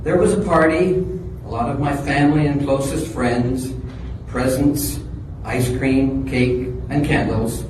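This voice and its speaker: A man's voice: medium pitch, fairly even intonation, unhurried, lightly acted. A man performing a dramatic reading as a reflective, wondering person musing on wishes, recorded from afar in a noisy, echoing hall. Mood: reflective, amused. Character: reflective birthday celebrant pondering wishes and others' troubles. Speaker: dramatic monologue reader. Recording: echoey hall from far off, noisy.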